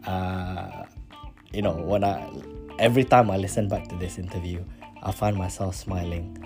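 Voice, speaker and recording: A man from Malaysia hosting a podcast, talking in a relaxed, chatty way with lots of fillers. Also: low-pitched, moderate pace, vocal fry. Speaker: podcast host. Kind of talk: casual spoken intro and interview. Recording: guitar music under the voice.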